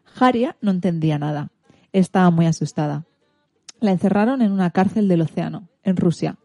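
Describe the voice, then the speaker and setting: A woman radio host with an accent from Spain tells a story for all ages. Her voice sits a little low and has a dark, mellow tone. The sound is dry and close, and her delivery is informal but scripted. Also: brisk, dry recording, soft background music. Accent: Spanish from Spain.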